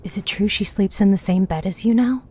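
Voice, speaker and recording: A woman's voice: mid-range voice, fairly quick, dark tone, slightly rough, natural voice. A woman playing a curious, teasing friend in a fantasy audiobook, speaking in a confiding, inquisitive way. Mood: curious, hushed. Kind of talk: fantasy audiobook dialogue over music.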